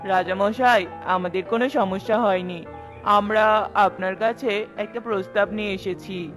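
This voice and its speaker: A woman's voice: medium pitch, steady tone, measured pace, faint music behind, natural voice. A woman voicing a humble, earnest peasant parent in a cartoon, speaking respectfully and entreatingly. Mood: humble, hopeful. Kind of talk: dramatic cartoon voice acting.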